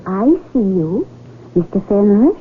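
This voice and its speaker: An actress in a 1970s radio drama playing a wistful, soft-hearted young casino dealer who poses as a princess. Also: female voice, medium pitch, animated, unhurried, close to an everyday voice. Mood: gentle, poised. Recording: muffled, hissy 1970s radio broadcast recording.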